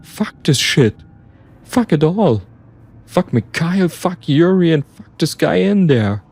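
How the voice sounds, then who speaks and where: Man acting a panicked, high-strung reluctant accomplice. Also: animated, normal speed, slightly rough. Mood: desperate. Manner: agitated, pleading, swearing. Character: panicked, reluctant male accomplice refusing to kill.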